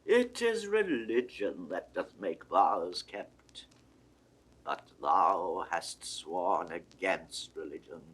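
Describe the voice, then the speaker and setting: An actor playing a stern, imperious cardinal, declaiming in measured, commanding classical Shakespearean radio-drama style. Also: male voice, on the low side, fairly bright tone, breathy edge, exaggerated voice, poor audio. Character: stern imperious Roman cardinal, threatening churchman. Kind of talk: 1960s classical Shakespearean audio drama acting.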